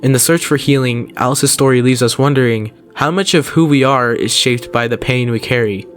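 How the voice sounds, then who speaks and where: A man's voice: medium pitch, moderate pace. A man narrating scripted recap commentary on horror videos in a casual, explanatory storytelling way. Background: background music.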